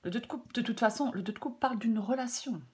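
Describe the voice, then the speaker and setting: Slightly hoarse French woman reading tarot. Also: on the high side, fast. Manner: hesitant, many fillers and repeated phrases. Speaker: tarot reader. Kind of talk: casual spoken tarot guidance.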